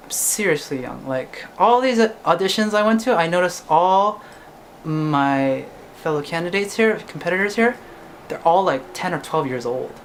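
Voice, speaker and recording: A man's voice: on the high side, fairly bright tone, slight background noise. Chatty young man, talking to camera. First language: English. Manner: chatty, ends points with "right?". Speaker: singer-songwriter vlogger. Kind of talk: casual talk to camera.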